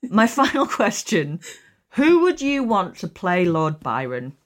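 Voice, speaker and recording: A woman's voice: on the low side, huge pitch swings, unhurried. A woman hosting a casual interview podcast, speaking in an effusive, informal and emphatic way. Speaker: podcast host.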